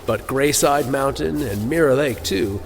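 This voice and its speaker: An actor playing an earnest, scholarly cleric in a fantasy audio drama, explaining things emphatically. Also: male voice, medium pitch, a little slow, creaky, over music. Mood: informative, matter-of-fact.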